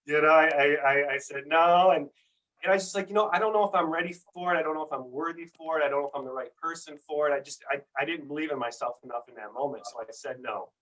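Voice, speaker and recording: A man's voice: fairly high pitch, fast talker, fairly bright tone, recorded from a distance, highs cut off. A man telling his own story as a guest in casual chat, earnest and rambling, stumbling over repeated words.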